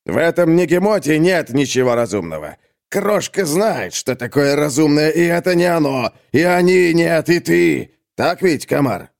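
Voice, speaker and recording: A surly, slow-witted brute of a man, put on by a male audiobook narrator. He grumbles gruffly with simple-minded menace and boastfulness, his pitch moving around a lot. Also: lively intonation. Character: dim, menacing male thug who speaks of himself by name. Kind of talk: audiobook character acting.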